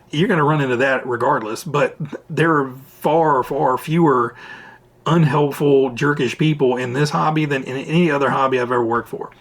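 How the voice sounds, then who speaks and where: A man hosting a hobby vlog, answering viewer questions in a rambling, chatty way, his voice raspy and tired after hours of recording at home. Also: mid-range voice. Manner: rambling, chatty, self-interrupting. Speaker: RC hobby channel host. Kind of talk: casual Q&A vlog talk. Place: at home, indoors. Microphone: camera mic.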